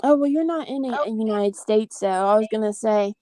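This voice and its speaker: A woman's voice: fairly high pitch, moderate pace, dark tone, no echo, studio quality. A woman with a light Southern twang, hosting a live stream in a chatty, rambling way and laughing easily. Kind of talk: casual chat.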